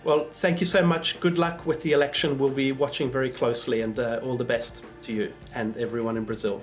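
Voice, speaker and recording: A man hosting a casual interview podcast, speaking in a halting, appreciative conversational way. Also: mid-range voice, little pitch movement, soft background music.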